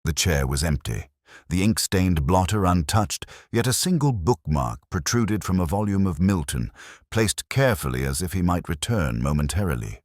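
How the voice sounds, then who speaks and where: A man narrating a literary detective story in the first person, in a measured, formal and reflective manner. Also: low voice, very animated, a little mellow, dry recording, clean studio sound.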